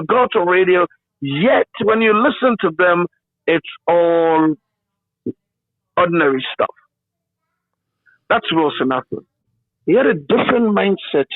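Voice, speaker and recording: A man with a Ghanaian accent speaks in a high voice as an interviewed broadcaster. He tells his story emphatically and checks in with the listener as he goes. The sound is dry and somewhat muffled, like a radio feed. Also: no room sound. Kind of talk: conversational interview reminiscence.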